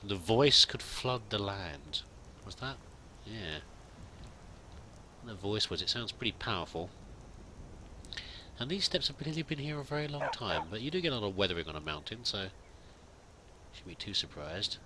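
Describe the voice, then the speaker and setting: Bright-voiced man musing over gameplay. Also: on the low side, expressive, moderate pace, pauses often, slight background noise. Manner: musing, chatty, reads game text aloud. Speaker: let's play host. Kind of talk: roleplay game commentary.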